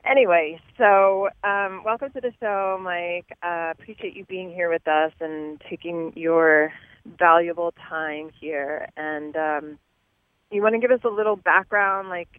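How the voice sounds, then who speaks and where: A woman hosting a casual interview show, chatty and quick to laugh, with many fillers. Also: fairly low pitch, clean tone, dry recording. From New Jersey. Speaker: host. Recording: tinny phone-like line, dry.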